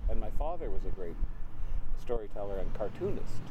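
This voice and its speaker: A man's voice: on the low side, lively intonation, a little fast. Older man rambling through family stories. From Prince Edward Island, Canada. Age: 80s. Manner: rambling, anecdotal, wry. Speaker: interview guest. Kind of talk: casual storytelling conversation. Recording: noisy background.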